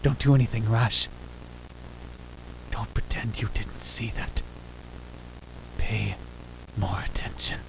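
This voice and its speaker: A man voicing a dramatic young self-styled mad scientist, narrating in the first person in a hushed, grave, theatrical way. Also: lowish voice, slow, vocal fry, pauses often, noisy background. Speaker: narrator and lead in fan dub. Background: music.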